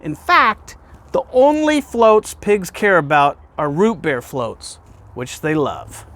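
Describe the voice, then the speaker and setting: A man with a fairly high voice reads a picture book aloud for children. His delivery is very animated, rising and falling widely. He puts questions to the young listeners and sets off key phrases with emphatic pauses. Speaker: guest reader, fire department deputy chief.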